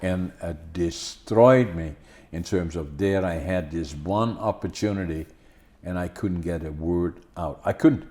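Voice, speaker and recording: An older man with a Dutch accent whose English is his second language, speaking in a low, mellow voice. He pauses often and restarts his phrases as he talks, in an unhurried podcast conversation. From Netherlands. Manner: halting, many restarts and fillers. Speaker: podcast host. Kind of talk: casual conversation.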